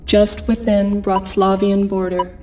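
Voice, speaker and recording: A woman acting as an impassive military announcer for a game briefing. Her voice is very flat and a little dark, clipped and impersonal, set slightly back from the mic. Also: mid-range voice, slow, far from the mic, soft background music, lightly acted. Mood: impassive, official. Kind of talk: scripted game mission briefing.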